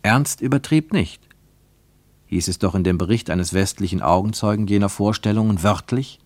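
A man's voice: on the low side, normal speed, darkish tone, no echo. A man narrating a radio play in a scripted, literary storytelling style.

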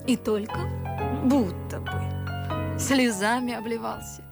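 An actress playing a pert, shrewd young maidservant, chatty and teasing, in a folksy theatrical radio play style. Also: female voice, on the high side, slow, vocal fry. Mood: teasing, nostalgic. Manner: theatrical, folksy, deferential yet cheeky. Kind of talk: radio play acting of classic verse comedy. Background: faint music.

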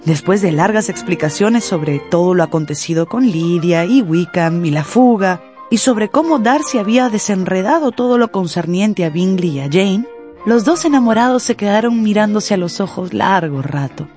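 A woman narrator giving expressive, scripted storytelling for a Spanish-language radio drama. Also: medium pitch, moderate pace, soft background music.

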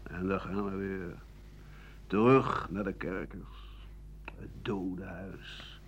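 An actor playing a bitter, aging exiled writer-doctor in a radio drama monologue, sardonic and halting, often sinking to a husky mutter. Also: male voice, low voice, slight background noise. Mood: grim, resigned. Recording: muffled 1980 radio broadcast.

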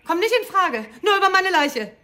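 A woman's voice: extremely high pitch, very animated, normal speed, pushed and bright, exaggerated voice. A woman playing a fragile, despairing middle-aged mother in a radio drama, speaking anxiously and pleadingly. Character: fragile, despairing abandoned mother of a teenage son. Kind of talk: German radio crime drama acting.

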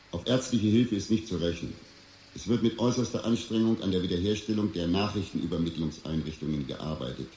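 An actor playing a grave American president giving a measured, formal emergency address in a radio drama. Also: male voice, sits low, warm tone, natural voice. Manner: measured, solemn, official. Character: grave American president addressing his nation after nuclear war. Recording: echoey with faint noise.

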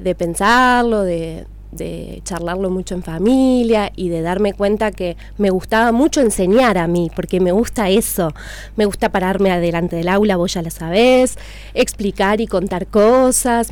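A woman's voice: mid-range voice, measured pace, darkish tone. Chatty Argentine woman explaining things. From Argentina, raised in Buenos Aires and Córdoba province. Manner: chatty, explanatory, long detailed answers. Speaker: teacher being interviewed. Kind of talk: conversational radio interview answers.

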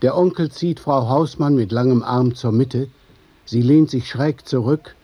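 A man's voice: medium pitch, a little slow, dark tone, breathy edge, dry recording. A man narrating a scripted radio play in vivid literary prose. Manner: literary storytelling in vivid present tense.

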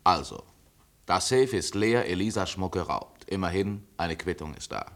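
An actor playing a sober, methodical private detective, matter-of-fact and probing, in a 1950s German radio crime drama. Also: male voice, low-pitched, expressive, normal speed, a bit bright. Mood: sober, analytical.

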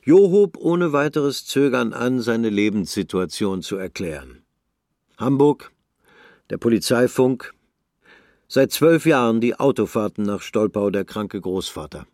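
A man narrating a literary radio play in a measured, even way. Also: mid-range voice, dry recording, clean studio sound.

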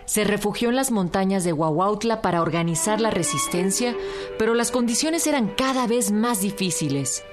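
A woman's voice: medium pitch, lively intonation, moderate pace. A woman with a Mexican accent giving scripted narration for a historical radio drama. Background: music.

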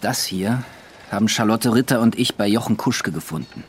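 An actor playing an earnest, measured police investigator in a German radio drama. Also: male voice, fairly low pitch, a little hiss or hum, close to an everyday voice. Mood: grave, careful. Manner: measured, serious. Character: earnest male police investigator reporting a conspiracy.

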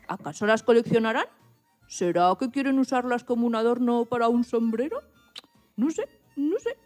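A woman's voice: fairly high pitch, expressive, moderate pace, a little mellow, faint music behind, lightly acted. A woman voicing a small, anxious and polite piglet in a children's radio play, exclaiming and muttering questions to itself. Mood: indignant, wondering. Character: small anxious, polite young piglet searching for lost chops.

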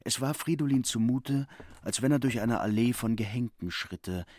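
A man's voice: mid-range voice, normal speed, a little mellow, no echo. A man narrating a literary radio play from a written text.

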